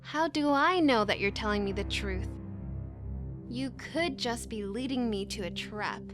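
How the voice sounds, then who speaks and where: A woman's voice: fairly high pitch, lively intonation, measured pace, over music. A woman acting a young angel, distrustful and easily frightened, in a sci-fi visual novel.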